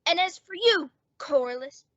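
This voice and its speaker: A woman's voice: extremely high pitch, lively intonation, measured pace, pushed and bright, dry recording, lo-fi sound, full character voice. A woman playing an anxious, lovesick and cowardly teenage boy in an old-time radio comedy, earnest and overwrought. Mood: indignant, nervous.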